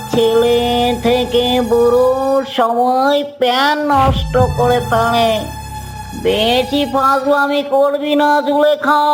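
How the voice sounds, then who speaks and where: A woman voicing a boastful, touchy male giant in a cartoon, scolding and blustering. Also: high voice.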